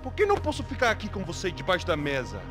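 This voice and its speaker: An actor voicing a nervous, jittery office technician in a video game, anxious and hurried. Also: male voice, high-pitched, huge pitch swings. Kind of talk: video game cutscene acting. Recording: music behind the voice.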